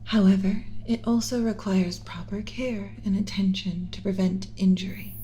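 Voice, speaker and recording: A woman plays a tender alien mother tending her human pet in an ASMR roleplay. Her voice is soft, dark and relaxed, often slipping into a breathy whisper. She speaks deliberately, clinical yet comforting, close to the mic with a faint bed of background noise. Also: mid-range voice, close to an everyday voice. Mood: calm, clinical.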